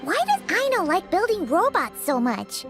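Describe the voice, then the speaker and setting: Tiny excitable game sidekick, piercingly bright and childlike, music underneath. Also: female voice, very high-pitched, moderate pace, full character voice. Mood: curious. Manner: exclamatory, wide-eyed. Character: small, excitable, childlike companion, very squeaky. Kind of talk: video game voice acting.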